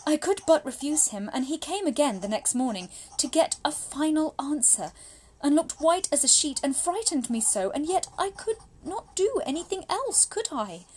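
A woman acts out a young Victorian lady with a high voice, anxious yet spirited. She reads her private letters as a dramatic monologue, confiding in genteel, formal phrases with expressive delivery. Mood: distressed, shaken.

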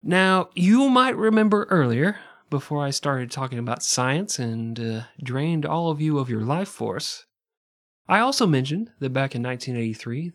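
A man's voice: a little high, a bit bright. A man hosting a comedy history podcast, telling the story in a loose, profane, jokey way and laughing often. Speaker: podcast host.

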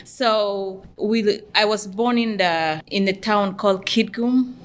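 A woman's voice: medium pitch, slow. A woman from Uganda, a guest on a podcast, telling her life story in an earnest, plain way.

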